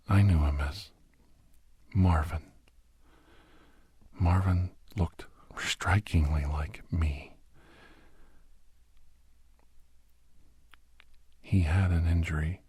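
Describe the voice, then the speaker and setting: A man acts as a grave, war-worn doctor narrating horror in an ASMR audio drama. His voice is deep and very husky, often sinking toward a whisper. He speaks slowly and haltingly, close and dry, like someone haunted by what he has seen. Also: no room sound, heavily acted. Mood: somber, ominous. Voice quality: breathy, husky. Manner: slow, halting, hushed, often slipping into whisper.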